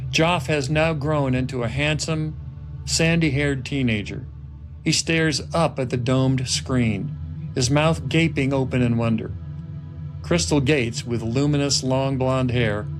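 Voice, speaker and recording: A man reading stage directions as the narrator of a film script table read, in dramatic scripted narration. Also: medium pitch. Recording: music laid clearly behind the voice. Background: music and sound effects.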